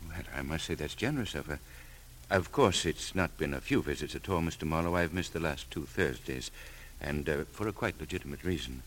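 Longtime male chauffeur, very low register, servile and formal, animated, classic radio play. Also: fairly quick. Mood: polite, guarded. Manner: servile, hesitant, proper. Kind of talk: old-time radio drama acting. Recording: vintage radio recording, faint noise.

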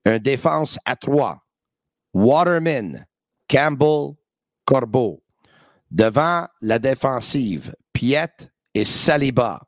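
A man with a Quebec French accent hosting a sports podcast, lively and joking, with quick asides. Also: medium pitch, no echo, studio quality. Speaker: podcast host. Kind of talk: casual sports talk.

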